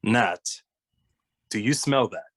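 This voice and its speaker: Man playing a very slow-spoken, skeptical young detective. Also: fairly low pitch, animated. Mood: serious. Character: Ashton, level-headed skeptical young male detective. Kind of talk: animated comedy table read.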